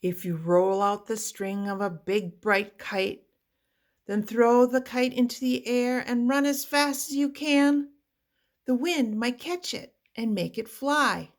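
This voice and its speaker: A woman reading a children's picture book aloud with deliberate, expressive phrasing for young listeners. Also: on the high side. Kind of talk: read-aloud children's storybook narration.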